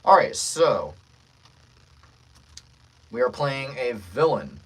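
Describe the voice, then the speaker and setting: A man's voice: medium pitch, sing-song, slow, breathy edge, rough recording. A man hosting a casual livestream as a voice actor, joking playfully with the viewers as he talks.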